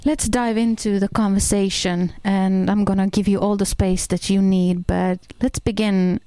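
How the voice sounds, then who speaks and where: A woman host asks her questions in a steady, guiding way. Her voice is somewhat low and mellow, with plenty of gravelly fry. She speaks in a flat, even tone, recorded dry. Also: normal speed, no echo. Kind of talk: interview questions.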